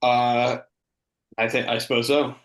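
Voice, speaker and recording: A man co-hosting a live comedy show, bantering casually with a deadpan, mock-earnest delivery. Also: mid-range voice, measured pace. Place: online video call. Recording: roomy, echoey sound.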